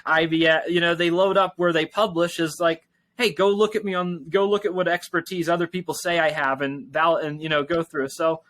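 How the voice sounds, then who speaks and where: A man's voice: on the high side, flat delivery, brisk, a bit bright, no echo. A man speaking as an interview guest in a casual, rambling, informal way. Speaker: tech company founder and interview guest.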